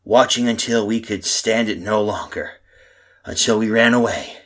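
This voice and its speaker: A man's voice: fairly low pitch, moderate pace, a bit bright. A man acting a frantic, disbelieving husband lost in a strange past, stammering and repeating himself in a 1930s horror radio play style. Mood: haunted, narrating. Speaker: actor and narrator in a radio drama.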